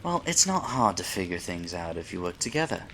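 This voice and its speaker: A man playing a kindly, fatherly businessman husband in a roleplay audio drama, speaking in a measured, frank, gently probing way. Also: sits low, very animated, slight background noise, full character voice, poor audio. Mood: frank, reassuring.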